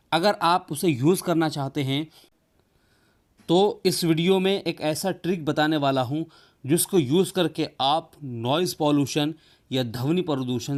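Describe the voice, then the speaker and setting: A man presenting a tech explainer, speaking directly to his viewers as a tutorial host. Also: a little high. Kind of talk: explainer video addressed to viewers.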